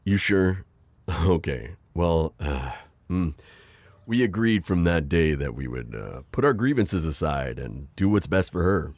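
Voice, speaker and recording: A man voicing a gentle, self-deprecating divorced construction-worker dad in an ASMR roleplay, hesitant and stammering with soft jokes. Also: deep voice, big pitch swings, measured pace, dry recording, exaggerated voice. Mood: shy, flirty. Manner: hesitant, full of ums and stammers, joking softly. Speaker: voice actor.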